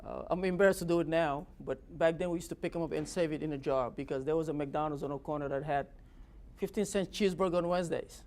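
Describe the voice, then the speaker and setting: A man's voice: a little high, quick pace, a little mellow, slight background noise. A man from Senegal, an office director speaking informally to present himself and his work. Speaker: government office director giving a talk.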